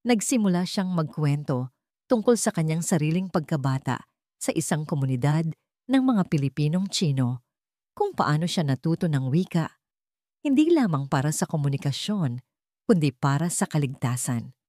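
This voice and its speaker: A woman's voice: low-pitched, sing-song, moderate pace, mellow, no room sound. A woman narrating a scripted dramatic audio story, recorded close and dry.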